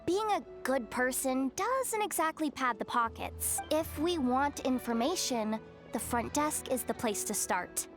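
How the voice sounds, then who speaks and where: A dry, sardonic young guide. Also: female voice, sits high, animated, unhurried, faint music behind. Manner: dry, sarcastic and commanding.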